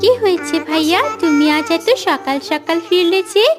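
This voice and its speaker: A woman voicing a sweet little sister in an animated moral story, childlike and wheedling. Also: very high voice, normal speed, music in the background. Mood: excited. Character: sweet little girl, excited younger sister.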